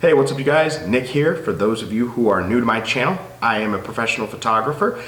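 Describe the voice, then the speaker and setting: A man's voice: mid-range voice, expressive, quick pace, roomy sound, music in the background, rough recording. A man with a nasal, stuffed-up voice from a head cold, chatting enthusiastically to camera about photography gear. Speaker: photography YouTuber. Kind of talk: casual gear talk to camera.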